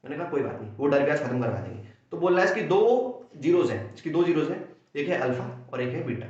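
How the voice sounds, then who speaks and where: A young man from India teaching a maths exam-prep lesson with a sore throat, energetic and playing both himself and his students. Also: fairly high pitch, fast. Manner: energetic, acts out students' questions and answers himself. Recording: echoey room, dull lo-fi sound.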